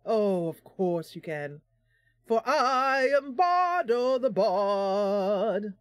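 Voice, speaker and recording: A woman playing a friendly, romantic bard heroine in a fantasy game, speaking in a dreamy, theatrical, drawn-out way. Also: medium pitch, very animated, clear, resonant tone, no echo, clean studio sound. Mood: grand, playful.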